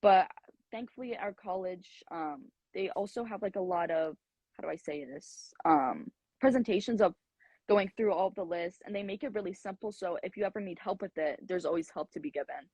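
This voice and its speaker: A woman's voice: lowish voice, moderate pace, darkish tone, breathy edge, no room sound. Young American woman around twenty, college athlete guest, chatty with fillers, dry close recording. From United States. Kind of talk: casual interview answers.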